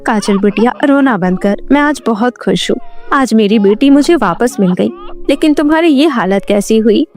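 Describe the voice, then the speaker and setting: A woman voicing a poor, gentle and forgiving middle-aged mother in a storybook tale. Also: fairly high pitch, expressive, normal speed, faint music behind, lightly acted. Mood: tender. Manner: tender, patient.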